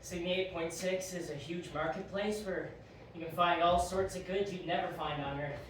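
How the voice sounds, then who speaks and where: A woman playing a teasing otherworldly former adjudicator in an audio drama, with a playful, informal, slightly halting delivery. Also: fairly low pitch, fairly even intonation, recorded from a distance, natural voice. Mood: easygoing. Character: Quench, teasing otherworldly former adjudicator who lives outside time. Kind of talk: audio drama acting, conversational. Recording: very echoey, off-mic, faint noise.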